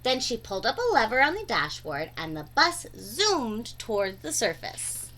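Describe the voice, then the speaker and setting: A woman's voice: fairly high pitch, wildly swooping pitch, normal speed, pushed and bright. A woman reading a children's storybook aloud expressively, drawing out words for dramatic emphasis. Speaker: read-aloud narrator.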